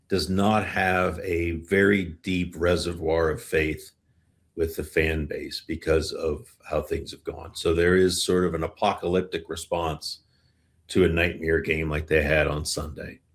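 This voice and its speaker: A man with a low voice hosts a casual sports conversation. He talks in a blunt, informal way and leans on repetition to drive his points home. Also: steady tone, measured pace. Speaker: sports podcast host.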